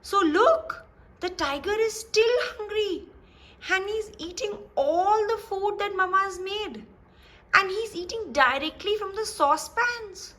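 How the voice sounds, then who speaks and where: A woman's voice: very high-pitched, measured pace, pushed and bright. A woman reading a storybook aloud to young children, explaining it simply and putting questions to the listener. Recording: roomy echo, distant from microphone.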